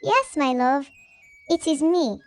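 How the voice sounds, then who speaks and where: A woman's voice: sits high, animated, slow, soft background music. A woman voicing a jealous, scheming young village woman in a folktale, dramatic and given to exclaiming. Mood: eager, scheming. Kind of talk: acted folktale dialogue.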